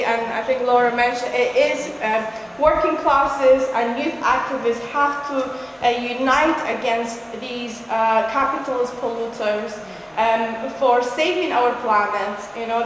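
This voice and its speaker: A woman giving a political speech at a rally, earnest and halting, with many fillers. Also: fairly high pitch, slight background noise. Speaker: speaker at a climate rally. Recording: echoey hall, roomy reverb.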